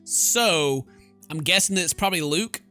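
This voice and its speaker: Guy doing a lets play, bright punchy voice, jokey and informal, faint music in back. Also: on the high side, animated, normal speed. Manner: joking, exclamatory, informal. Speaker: gaming video host. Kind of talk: game playthrough commentary, reading text aloud.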